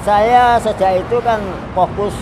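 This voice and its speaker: A man, telling his story in short, plain phrases beside a busy road. His voice sits a little high for a man, and loud traffic runs under everything he says. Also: fairly even intonation. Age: 70s. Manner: plain, halting answers. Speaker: walking traveler. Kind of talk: casual roadside interview. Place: roadside outdoors with traffic. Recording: loud traffic noise outdoors.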